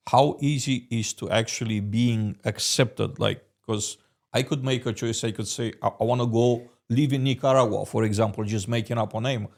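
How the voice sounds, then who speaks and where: A man's voice: medium pitch, vocal fry, darkish tone. A man whose first language is Romanian, hosting a podcast and asking probing questions with hesitant restarts. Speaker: podcast host. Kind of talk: interview questions.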